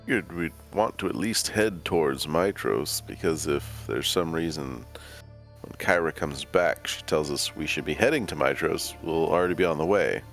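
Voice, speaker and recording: A man playing a tabletop game who reasons his plans out loud in a measured way. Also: rumbling low, gravelly. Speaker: player. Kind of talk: casual game chat. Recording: faint background music.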